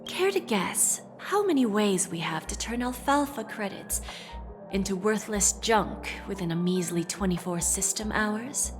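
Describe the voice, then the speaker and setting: A woman playing a shrewd, composed corporate negotiator in a video game, speaking with deliberate, assured phrasing and quiet menace. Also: mid-range voice, animated, music in the background, natural voice. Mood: coolly threatening. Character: cool, shrewd female corporate negotiator, calmly threatening. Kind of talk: dramatic video game voice acting.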